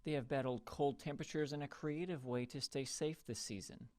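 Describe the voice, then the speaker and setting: A man's voice: mid-range voice, steady tone, brisk, a little mellow, a little hiss or hum. A man with an American accent reading a scripted television news report as a field reporter.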